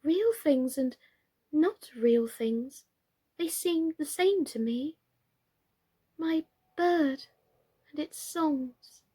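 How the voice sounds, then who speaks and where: A woman's voice: high voice, animated, drawn-out words, pauses often, warm tone, voice pushed up, exaggerated voice. A woman reading a storybook, voicing a humble, simple, sweet-natured female doll in a meek, wondering manner.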